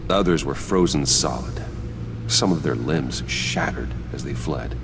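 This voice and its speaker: An actor playing a brooding, determined vigilante detective in a video game, with an American accent, terse and grave in manner. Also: male voice, a little slow, vocal fry, over music, full character voice. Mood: analytical, grave. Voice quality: very low, weighty voice.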